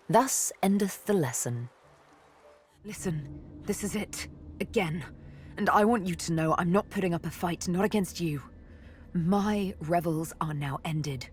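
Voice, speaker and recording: An actress voicing a seductive, menacing elven assassin woman in a fantasy game, intimate and teasing, with breathy, deliberate delivery. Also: on the low side, a little mellow, natural voice. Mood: tender, devoted. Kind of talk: dramatic fantasy game voice acting.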